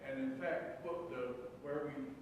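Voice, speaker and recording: A male churchgoer makes an earnest, argumentative point during Bible study. He is heard from a distance, with lots of church reverb and clear room noise. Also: mid-range voice, unhurried. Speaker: congregation member.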